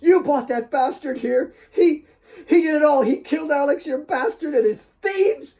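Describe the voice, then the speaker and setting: A man's voice: extremely high pitch, normal speed, mellow, dull audio, voice pushed up. A man voicing a hysterical, grief-stricken, hate-filled young woman in an audiobook, shrieking and spitting out broken, repeated words. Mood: hysterical accusing.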